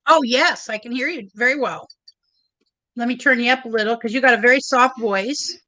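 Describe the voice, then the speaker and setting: A woman hosting a casual livestream, chatty and a little nervous, with a loud, big voice. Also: on the high side, brisk, low-quality recording. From Oregon. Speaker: livestream host.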